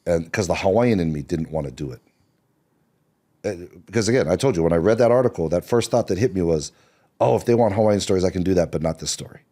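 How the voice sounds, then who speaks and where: A middle-aged man from Seattle, here as a screenwriter on a podcast, with an exceptionally low voice. His tone is dark and mellow. He tells his story in a relaxed, rambling way, full of fillers and restarts. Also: quick pace. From Seattle, Washington.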